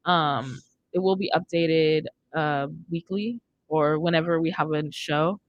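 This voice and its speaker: A woman's voice: low-pitched, a little slow, highs cut off, a little hiss or hum. A young American woman hosting a casual podcast chat from a studio, close on the microphone. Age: young adult. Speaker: radio show host. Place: indoor studio. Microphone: close studio microphone on boom arm.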